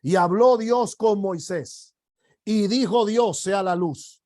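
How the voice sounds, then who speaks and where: Man, sermon, emphatic declarations, drawn-out delivery, high voice. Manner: slow, emphatic preaching with rising shouted declarations. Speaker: apostle teaching a ministry class.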